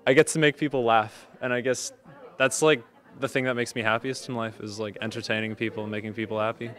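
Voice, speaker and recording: Fast-talking eager teenage boy. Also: mid-range voice, steady tone, a bit bright. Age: teens. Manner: eager, rambling, many "like" fillers. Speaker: student actor. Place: backstage by a curtain. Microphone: handheld interview mic.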